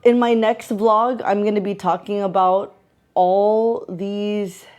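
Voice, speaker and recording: Casting note: woman in her late thirties, mellow warm tone, chatty upbeat vlogger who laughs often. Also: mid-range voice, fairly even intonation, takes it slowly, dull audio. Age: 30s. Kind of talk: casual talking-to-camera vlog. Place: home in the Philippines with roosters heard.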